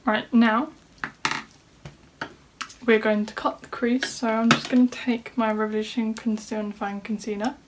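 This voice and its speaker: A woman's voice: on the high side, level tone, moderate pace, pauses often, background noise. A woman vlogger walking through a makeup tutorial in a casual chat, sounding slightly nasal from a cold. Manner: chatty, talks through each step. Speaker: beauty vlogger. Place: home room at a desk.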